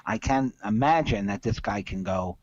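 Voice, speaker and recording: A man's voice: on the low side, normal speed, a little mellow, highs cut off. A man hosting a sports betting podcast in casual commentary, opinionated and emphatic, repeating his points for stress.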